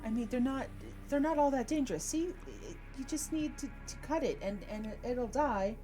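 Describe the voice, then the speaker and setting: A woman's voice: fairly high pitch, big pitch swings, moderate pace, warm tone, voice pushed up. A woman voicing a frightened, apologetic female colony survivor in a tabletop game, stammering and hesitant. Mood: shaken, defensive. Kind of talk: improvised tabletop roleplay acting. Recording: prominent music behind the voice.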